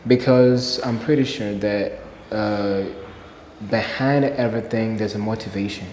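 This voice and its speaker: A man's voice: on the low side, steady tone, unhurried, a little mellow. A man from Burundi whose first language is Kirundi, answering interview questions in an earnest way and repeating key phrases for emphasis. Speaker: interview guest, English learner. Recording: echoey room with long reverb, faint noise.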